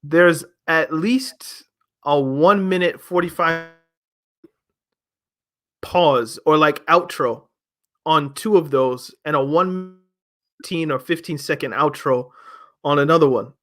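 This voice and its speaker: A young man with a fairly high voice reviews an album in casual chat. He argues his points emphatically and fires off rhetorical questions. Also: takes it slowly, pauses often, fairly bright tone. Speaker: music review host.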